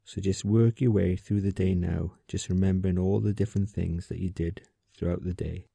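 A man with a low, very dark and relaxed voice leads a sleep meditation. His tone is soft and husky with a creaky edge. He speaks gently and without hurry, leaving room between phrases. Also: dry recording. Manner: soothing, gentle, unhurried, with pauses. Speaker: meditation guide. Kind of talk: guided sleep meditation, calm instruction.

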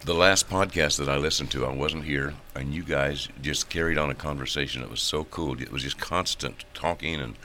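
An older male pastor with a very low, bright, forceful voice, talking in a loose, joking way with plenty of restarts. A faint ambient hum sits behind him. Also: normal speed, hardly any pauses. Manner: relaxed, joking, rambling with restarts. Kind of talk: casual podcast chat.

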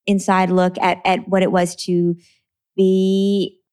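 A woman from Trinidad, an author talking about her books in a relaxed interview, earnest and quick to laugh. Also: fairly low pitch, clean tone. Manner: earnest, laughs easily, repeats words when starting. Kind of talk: conversational interview.

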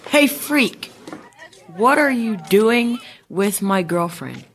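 A woman's voice: mid-range voice, expressive, takes it slowly, a bit bright, a faint crowd, a little hiss or hum. A sneering schoolboy bully acted by a woman in an amateur radio play. He is stubborn and mocking, dragging out his words in a mid-range voice. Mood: taunting.

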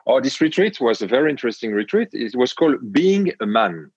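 A man with a French accent, a guest on an interview podcast, speaking in a measured way with frequent pauses and hesitations. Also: mid-range voice, sing-song, husky, no room sound, highs cut off. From France. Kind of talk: reflective interview talk.

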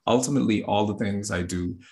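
Man from the Bahamas, very low voice, gravelly, earnest, restarts his words. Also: quick pace, darkish tone. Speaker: astrologer and yoga teacher, guest. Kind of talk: casual interview talk.

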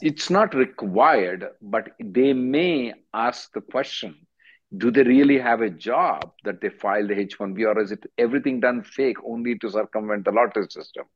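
A man's voice: lowish voice, normal speed. A man hosting a legal advice call as an attorney, answering bluntly and often repeating himself. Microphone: conference call line. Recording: dull lo-fi conference call audio.

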